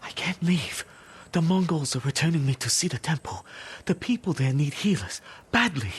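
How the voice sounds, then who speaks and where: An actor playing a stubborn, principled pacifist healer monk, earnest and pleading, in a dramatic video game cutscene. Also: male voice, a little high, expressive, normal speed, husky. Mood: startled, pleading. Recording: background music under the dialogue.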